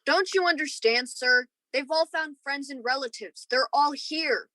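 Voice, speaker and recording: A woman's voice: high voice, measured pace, sharp tone, no echo. A woman acting an emotional, eager young male space lieutenant in a radio play, with an earnest, pleading manner. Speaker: actor in a student radio play.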